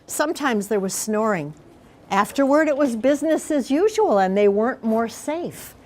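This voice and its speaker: A woman's voice: fairly high pitch, animated. Woman reading a picture book to children. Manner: explanatory, pauses to question the children. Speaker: mayor reading aloud to schoolchildren. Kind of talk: picture-book read-aloud with informal explanations to children.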